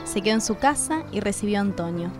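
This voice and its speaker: A woman's voice: medium pitch, lively intonation, quick pace, music in the background. A woman with an Argentine accent reading scripted narration for a radio drama.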